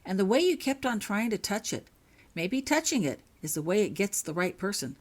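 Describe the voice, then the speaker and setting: A woman voicing a serene, inquisitive young witch in a storybook, speaking in a calm and matter-of-fact manner. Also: medium pitch, moderate pace. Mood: musing.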